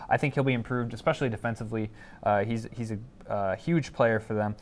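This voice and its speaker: A man's voice: lowish voice, quick pace. A man giving opinions as a panelist in a casual sports discussion, often repeating words as he goes.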